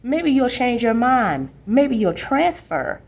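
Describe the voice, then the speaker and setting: Storybook role: small little brother, nine played by a woman, raised pitch, pleading, sulky, teasing. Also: big pitch swings, takes it slowly, gravelly, a little mellow, heavily acted. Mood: hopeful, sulky.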